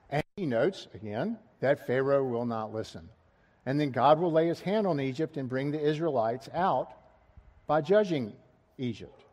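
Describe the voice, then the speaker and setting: A man's voice: on the high side, darkish tone. A middle-aged man preaching an expository sermon, asking his listeners rhetorical questions and pressing key words with rising emphasis.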